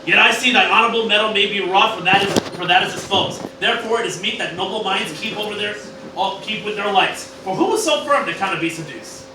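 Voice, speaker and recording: Man doing a high-pitched crude old mad scientist. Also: fast, lightly acted. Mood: scheming. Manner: ranting, swearing, declamatory, sometimes stumbling over lines. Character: crude, arrogant, scheming old mad-scientist grandfather cartoon villain. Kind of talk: amateur comedic Shakespeare parody performance. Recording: roomy, distant mic, noisy room.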